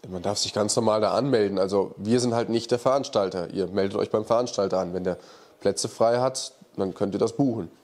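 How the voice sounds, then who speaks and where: A man's voice: on the low side, brisk, darkish tone. Blunt man with a stuffy cold. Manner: blunt, colloquial, frank verdicts. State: has a cold, slightly nasal. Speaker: workshop expert answering viewer questions. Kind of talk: casual Q&A chat. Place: indoor workshop office, seated in armchair. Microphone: lapel mic.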